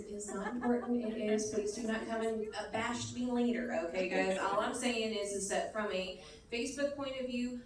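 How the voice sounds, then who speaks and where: A woman panelist gives advice in an emphatic, chatty way, often checking in with her listeners. The sound is a reverberant hall, with the mic well away from her and a soft wash of room noise underneath. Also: medium pitch. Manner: emphatic, chatty, keeps saying okay. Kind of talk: panel discussion answer. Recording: echoey hall, mic far off.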